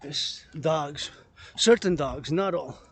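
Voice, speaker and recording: A man's voice: on the high side, slow. A man vlogging casually on an outdoor walk, rambling and circling back to repeat his phrases in a chant-like way. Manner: repetitive, chant-like rephrasing. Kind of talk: rambling outdoor walking vlog, casual chat. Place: outdoors in a park, walking among trees. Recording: noisy outdoor recording with clicks.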